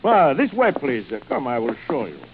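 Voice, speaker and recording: A man's voice: mid-range voice, sing-song, natural voice. Man playing a suave, cruel general, swooping old-radio delivery. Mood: gleeful. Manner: urbane, theatrical, savoring pauses. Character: suave, cruel aristocratic older general who hunts men. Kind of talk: 1940s radio thriller acting. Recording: muffled, noisy vintage radio recording.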